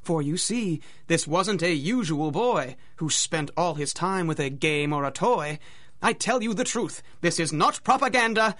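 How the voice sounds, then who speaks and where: A man's voice: fairly high pitch, lively intonation, unhurried, a bit bright. A man narrating a radio drama in a theatrical, dramatic storytelling manner.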